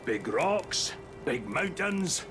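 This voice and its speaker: A man's voice: a little high, big pitch swings, extremely slow, music in the background, voice pushed up, heavily acted. An actor voicing a weary male dwarf in a video game, with dry, sighing sarcasm. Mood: sardonic. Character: weary male dwarf grumbling at dreary sights.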